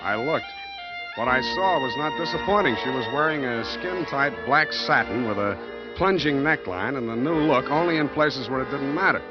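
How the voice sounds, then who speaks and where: An actor playing a wry, street-wise private detective, deadpan and wisecracking, in 1940s noir radio style. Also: male voice, medium pitch, normal speed, lightly acted. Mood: wry, narrating. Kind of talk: 1940s radio acting, first-person noir narration. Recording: muffled old radio broadcast with music.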